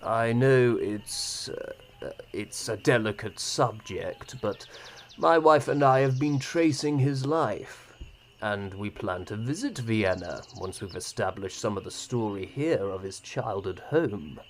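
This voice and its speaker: A man playing a polite, apologetic gentleman interviewer in a period audio drama, speaking haltingly and courteously. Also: lowish voice, animated, slight background noise. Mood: tactful, hesitant.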